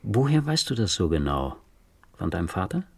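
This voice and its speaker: A male police detective with a deep, rough-edged voice recorded close and dry. He questions patiently and gently, his pitch moving freely, in the manner of a crime radio play. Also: sing-song, no echo, exaggerated voice. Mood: gentle, probing. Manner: measured, polite questioning.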